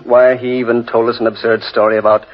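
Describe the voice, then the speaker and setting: An actor playing a breezy, skeptical English gentleman with a British accent and jaunty upper-class phrasing in a 1940s radio drama. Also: medium pitch, little pitch movement, a little fast, close to an everyday voice. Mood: dismissive. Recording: muffled old radio recording.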